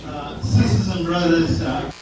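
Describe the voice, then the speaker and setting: A man introducing speakers at an event, hesitant and full of filler pauses. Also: a little high. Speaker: event host. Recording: echoey hall, distant mic, crowd noise.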